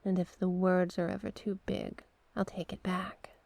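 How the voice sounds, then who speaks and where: A woman voicing a seductive, manipulative sea witch in an ASMR roleplay, coaxing hypnotically in a breathy, husky voice that often drops to a whisper. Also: lowish voice, flat delivery, a little mellow, no room sound. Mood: seductive, promising. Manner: slow, hypnotic, coaxing repetition.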